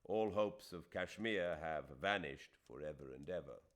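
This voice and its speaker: A man's voice: deep voice, unhurried. Guy playing a wounded indian man in an audiobook, coldly polite, aggrieved, reading out his letter. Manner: cold, aggrieved.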